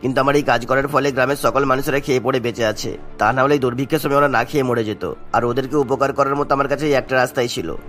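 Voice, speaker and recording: A man's voice: medium pitch, steady tone, fast, hardly any pauses, a bit bright, soft background music, natural voice. A man playing a kindly old village father-in-law in a cartoon, speaking in an earnest, apologetic way. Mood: earnest, humble. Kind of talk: cartoon story dialogue.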